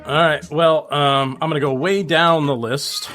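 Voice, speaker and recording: Halting man chatting over faint music. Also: a little high, fairly even intonation, a bit bright. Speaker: podcast panelist. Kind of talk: casual music chat.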